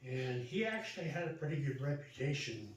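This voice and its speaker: An older American man telling a personal story casually, in a halting, reminiscing way. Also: mid-range voice, expressive. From Central Valley, California, United States. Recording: roomy echo, distant mic, faint noise.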